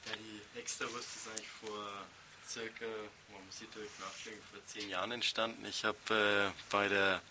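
Ein Mann aus Nürnberg erzählt als Studiogast frei und stockend, mit vielen gefüllten Pausen. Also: male voice, on the low side, little pitch movement, background noise. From Nuremberg, Germany. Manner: hesitant, halting, frequent filled pauses. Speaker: interview guest, restaurant co-founder. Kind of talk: spontaneous interview storytelling. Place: radio studio.

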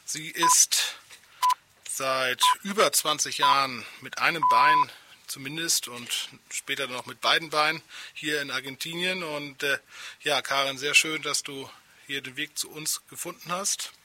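A man's voice: fairly high pitch, measured pace, sharp tone, a little hiss or hum. A man presenting a radio programme as host and interviewer. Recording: music behind.

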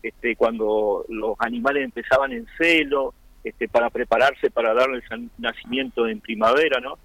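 A man's voice: medium pitch, expressive, normal speed, vocal fry, fairly bright tone, no room sound, poor audio. A man from Argentina with an Argentine Spanish accent, explaining things earnestly in a radio interview, with many fillers and restarts. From Argentina (Chubut). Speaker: interviewee, Mapuche-Tehuelche community member.

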